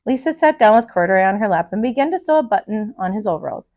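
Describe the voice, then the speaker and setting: A woman who is a teacher and storyteller, reading a children's picture book aloud in an expressive, dramatic way. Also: medium pitch, fast, dull audio.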